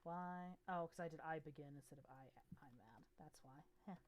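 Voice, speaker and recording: Brief: woman game master, lowish voice, casual, mutters while she works the map. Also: moderate pace. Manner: casual, thinks aloud while working the map. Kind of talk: running a tabletop game over voice chat.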